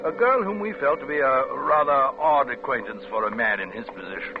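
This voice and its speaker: An actor playing a police investigator who recounts a case as narrator of an old-time radio crime drama. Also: male voice, medium pitch, animated, fairly quick, close to an everyday voice. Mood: measured, grave. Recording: tinny old radio recording with music.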